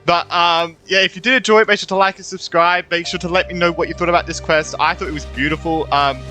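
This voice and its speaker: A young man commentates casually on a video game. His voice is bright, forceful and a little high, and he rambles and repeats himself informally. Faint music sits behind him. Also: steady tone, fast. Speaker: gaming YouTuber.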